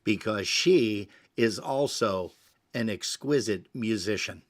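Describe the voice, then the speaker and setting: A man's voice: lowish voice, slow pace, no echo, studio quality. A man hosting an interview show, asking questions with enthusiasm and heaping praise on his guest. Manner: enthusiastic, complimentary. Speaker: show host.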